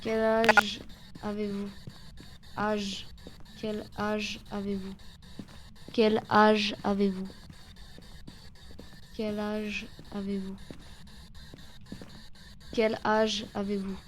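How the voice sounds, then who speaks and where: A woman's voice: mid-range voice, monotone, drawn-out words, long pauses, slight background noise, rough recording. A woman streamer commentating a ghost-hunting game, patiently repeating questions and reacting with sudden surprise.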